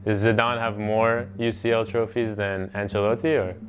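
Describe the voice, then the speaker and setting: A man's voice: lowish voice, little pitch movement, moderate pace. A man from Iran chatting casually and skeptically as a contestant, pressing others with questions. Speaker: contestant in a fan panel game. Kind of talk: casual group chat.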